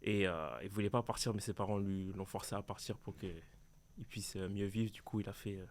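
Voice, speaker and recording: A young man on a round-table podcast, talking in a hesitant way with many pauses. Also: fairly low pitch, steady tone. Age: young adult. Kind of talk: casual round-table chat.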